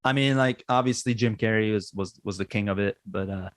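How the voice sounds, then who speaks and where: A man's voice: on the low side, fairly quick, no room sound, clean studio sound. A man in his forties with a Persian accent, who grew up in Iran, hosting a casual podcast chat full of fillers and repeated yeahs. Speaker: podcast host.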